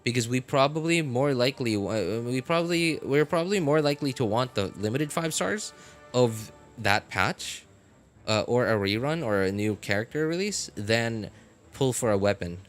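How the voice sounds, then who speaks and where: A man talking casually on a game live stream, rambling with many fillers and getting animated about his opinions. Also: medium pitch, normal speed, soft background music. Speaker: gaming streamer.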